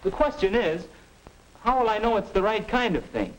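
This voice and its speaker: A man's voice: high voice, big pitch swings, moderate pace. A man playing a restless, doubting American teenage boy in a 1950 educational film, earnest and stagey, on a noisy old soundtrack. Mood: pondering.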